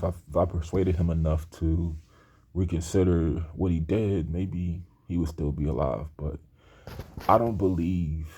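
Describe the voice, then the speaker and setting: A man's voice: deep voice, dark tone, slightly rough. A man in his thirties talking in a rambling personal monologue, earnest and hesitant, with many restarts. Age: 30s. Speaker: aspiring screenwriter talking to camera.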